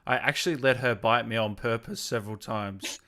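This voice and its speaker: Bright-voiced man hosting casually. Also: mid-range voice, fairly quick. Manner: informal, conversational. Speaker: interviewer, gaming stream host. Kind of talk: casual interview, reading viewer questions. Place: remote video call.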